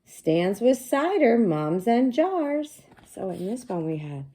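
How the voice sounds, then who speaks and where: A woman teacher reading a picture book aloud to young children, pausing to explain things simply and ask them questions. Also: on the high side, big pitch swings, warm tone, breathy edge.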